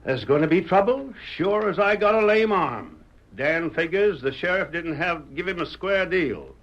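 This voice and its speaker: An actor playing a talkative, loyal frontier deputy with a drawling rural dialect in a 1930s radio drama. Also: male voice, high-pitched, animated, normal speed, fairly bright tone. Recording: muffled old radio recording.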